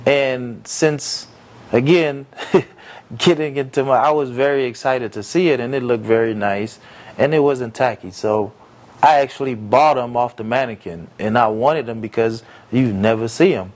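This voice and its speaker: A young man born in Haiti and raised in America, answering interview questions in an earnest, reflective way with frequent pauses and fillers. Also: mid-range voice, darkish tone. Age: young adult. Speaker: entrepreneur interviewee.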